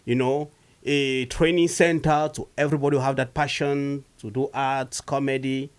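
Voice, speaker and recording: A man from Cameroon, a comedian guest, telling his story in a casual, lively way. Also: moderate pace, smooth. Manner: animated, rising pitch when retelling stories.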